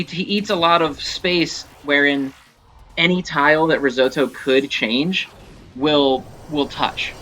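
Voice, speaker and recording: A man giving casual gameplay commentary, thinking aloud in a halting, self-correcting way as he explains things. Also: a little high, sharp tone. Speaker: gaming video host. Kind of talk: casual rambling game commentary.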